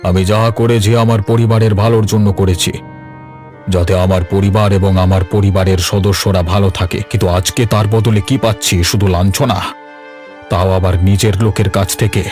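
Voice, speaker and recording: A man voices an embittered old patriarch in a cartoon story. The voice is on the low side and declamatory, proud and quick to take offence, with music running underneath. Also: flat delivery, a little mellow, natural voice. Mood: bitter, aggrieved. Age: older. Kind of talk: Bengali cartoon voice acting.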